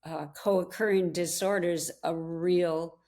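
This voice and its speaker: A woman's voice: fairly low pitch, steady tone, slow pace, darkish tone. A woman of seventy in recovery speaking earnestly about her health in an interview. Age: 70s. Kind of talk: recorded interview testimony.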